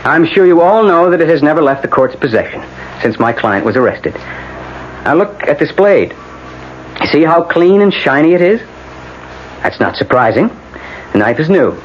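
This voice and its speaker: Defense lawyer, radio drama: highish voice, dramatic and emphatic, insistent, with hissy broadcast sound. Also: male voice, muffled recording. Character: theatrical, insistent male defense attorney addressing a court. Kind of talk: 1970s-80s radio drama acting.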